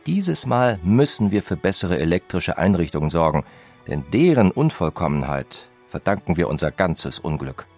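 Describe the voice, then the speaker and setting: An actor playing a nineteenth-century engineer reading his own letter to his brother aloud, in brisk, formal old-fashioned phrasing. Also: low voice, very animated, darkish tone, soft background music, heavily acted. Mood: earnest.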